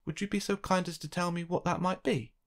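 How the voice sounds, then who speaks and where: A narrator voicing a rude, irritable man in a children's storybook, blustering loudly and indignantly. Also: medium pitch, steady tone, brisk, close to an everyday voice. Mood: exasperated outburst. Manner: roaring, indignant, emphatic.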